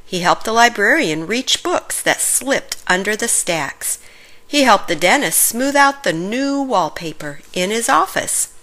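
Lively, bright-voiced woman reading a storybook. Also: mid-range voice. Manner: animated, deliberate phrasing for young listeners. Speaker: storybook reader. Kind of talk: expressive children's read-aloud narration.

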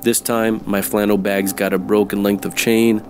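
A man's voice: fairly low pitch, level tone, normal speed, darkish tone, a little hiss or hum. A man narrating an audio drama in the style of old-time radio noir.

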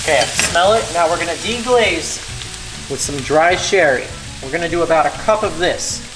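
A man's voice: on the high side, expressive, moderate pace. Young guy walking thru a fishing rig and a recipe, easygoing how-to talk, kinda echoey room w music under it. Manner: instructive, step-by-step. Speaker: fishing channel host. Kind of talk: casual how-to narration. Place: home kitchen and boat deck.

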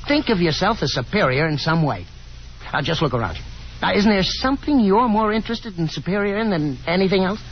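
An actor playing a breezy, confident young gentleman caller who chats in a hearty, coaxing way in a 1950s radio drama. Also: high-pitched, animated, fairly quick. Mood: encouraging, confident. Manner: hearty, slangy, encouraging chatter. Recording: dull 1950s radio broadcast, music behind.

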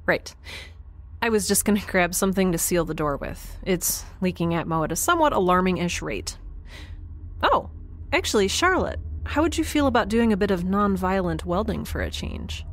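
A woman's voice: fairly low pitch, lively intonation, normal speed. Wry, curious young space scientist woman. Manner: awkward, hesitant, wry. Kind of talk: audio drama acting, conversational. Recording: clear ambient noise behind.

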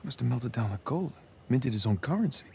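A man's voice: medium pitch, very animated, fairly quick, gravelly, mellow, a little hiss or hum. A man in his thirties with an American accent playing a wry, wisecracking treasure hunter in a video game, casual and sardonic. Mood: wry, curious. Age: 30s. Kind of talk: video game cutscene acting.

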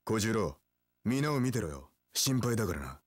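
An actor playing a cocky, cool young samurai warlord in a video game, with rough tough-guy speech and English catchphrases. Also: fairly low pitch, very animated, normal speed, no room sound, studio quality. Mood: cocky, taunting. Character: cocky, cool young male samurai warlord, swaggering one-eyed dragon. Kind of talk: video game battle voice lines.

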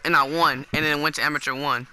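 Fast-talking bright young man. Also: on the high side, fairly even intonation, faint music behind, poor audio. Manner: rapid, mocking. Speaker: gamer in voice chat. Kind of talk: casual party chat.

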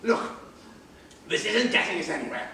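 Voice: medium pitch, very animated, moderate pace, pushed and bright. A young performer playing a pompous, indignant elderly Major in a care home, in an amateur stage comedy recorded from far off in an echoey theatre. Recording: distant echoey theatre, faint noise.

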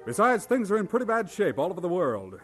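An actor playing a regretful, matter-of-fact navy recruiting officer in an audio drama. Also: male voice, sits high, huge pitch swings, quick pace, faint music behind. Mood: regretful, official.

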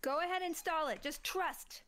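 A woman's voice: very high-pitched, moderate pace, a bit bright, slight background noise. A woman playing in a tabletop game, joking playfully while chatting casually. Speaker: player. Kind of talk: casual chat.

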